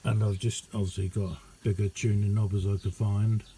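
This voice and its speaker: A middle-aged man talks in a very mellow, fairly even voice. He rambles casually and modestly, like a hobbyist showing something on camera. There is faint noise behind him in a small indoor room. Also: lowish voice, brisk. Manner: rambling, understated, self-deprecating. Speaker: amateur radio hobbyist showing his kit. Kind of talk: casual hands-on video chat.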